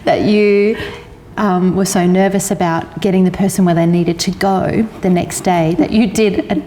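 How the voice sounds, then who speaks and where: An Australian woman hosting a casual interview, effusive and quick to laugh. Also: lowish voice, fairly even intonation, big-room sound, slight background noise. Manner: warm, effusive, laughs often. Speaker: host.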